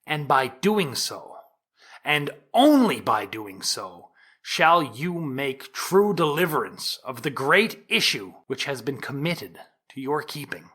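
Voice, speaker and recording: An actor playing a formal, insistent prosecuting attorney from the 1890s in a courtroom audio drama, speaking in a measured, emphatic way. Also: male voice, mid-range voice, big pitch swings, a bit bright, slightly rough, exaggerated voice. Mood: earnest, solemn appeal. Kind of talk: dramatized courtroom oratory and examination.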